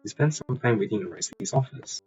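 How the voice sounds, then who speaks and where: A man's voice: lowish voice, expressive, a little fast, darkish tone, husky, muffled recording, faint music behind. A man streaming a game and reading its dialogue lines aloud.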